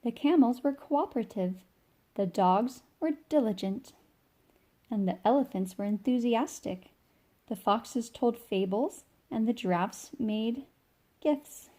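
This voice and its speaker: Woman, mellow voice, slow pace, expressive children's read-aloud, informal storyteller delivery. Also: on the high side.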